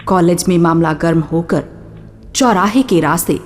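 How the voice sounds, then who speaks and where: A woman's voice: on the low side, normal speed, slight background noise. A woman delivering dramatic scripted narration as the narrator of a radio drama. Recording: long reverb with music behind. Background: music.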